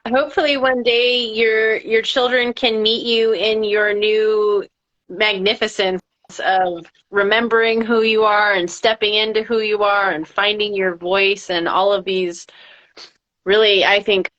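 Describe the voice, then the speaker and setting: A woman's voice: mid-range voice, little pitch movement, measured pace, fairly bright tone. A woman hosting a podcast interview, speaking in long flowing spiritual phrases. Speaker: podcast host. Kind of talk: interview chat. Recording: dull lo-fi sound.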